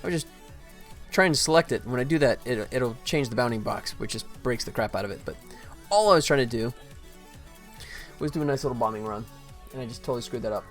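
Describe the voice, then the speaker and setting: A man's voice: mid-range voice, lively intonation, quick pace. Casual man thinking aloud, music behind. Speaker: indie game developer, livestream host. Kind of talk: casual work-along commentary.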